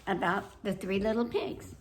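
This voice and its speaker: A woman hosting a children's storytime, reading aloud and pausing to put simple questions to young listeners. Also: mid-range voice, steady tone, a little hiss or hum. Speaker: children's library storytime host.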